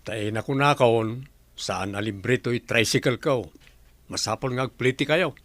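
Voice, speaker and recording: A man playing a gruff, tight-fisted husband and tricycle driver in a radio drama, blunt and bossy, with an Ilocano accent. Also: medium pitch, measured pace, a bit bright, dry recording, close to an everyday voice. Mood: stern, grudging.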